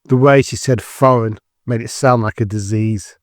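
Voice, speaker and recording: A man's voice: mid-range voice, steady tone, moderate pace, no echo, studio quality. A man reading scripted dramatic narration as the narrator of an audio drama.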